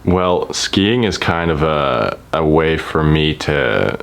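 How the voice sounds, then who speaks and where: A man's voice: rumbling low, slow, clear, resonant tone. A 22-year-old man in a casual interview, hesitant and wry.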